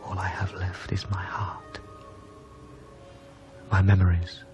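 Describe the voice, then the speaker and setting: A man playing a broken, grieving widower in a radio drama monologue, hushed and halting with sorrow. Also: deep voice, monotone, highs cut off, music in the background. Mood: bereft. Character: grieving, broken widower narrating his lost wife.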